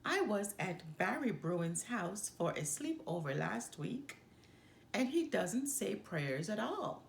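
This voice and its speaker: A woman voicing an eager, sporty young boy bear cub from a children's storybook, in an expressive manner. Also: medium pitch, slow, creaky, full character voice. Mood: curious. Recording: echoey room with faint background noise.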